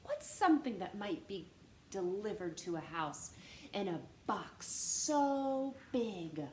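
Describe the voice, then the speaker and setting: A woman reading a story aloud to children in an animated, teacher-like way, stressing words and posing questions. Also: medium pitch, slow. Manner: expressive teacher-like delivery, dramatic gasps and emphasis. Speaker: storytime reader. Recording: roomy echo with faint background noise.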